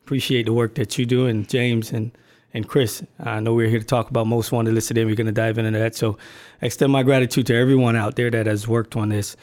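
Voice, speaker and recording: Flat-voiced man from Barbados. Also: medium pitch, quick pace. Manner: hesitant, many fillers and restarts. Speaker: agency communications staffer, podcast guest. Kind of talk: casual podcast chat.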